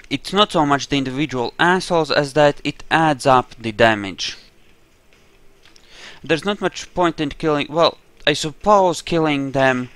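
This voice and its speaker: A man with a clear, smooth voice commentates on a game he is playing. He speaks at an unhurried pace, thinking aloud as he goes. His manner is offhand and peppered with casual swearing. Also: on the high side, a bit bright. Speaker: gamer narrating his own playthrough.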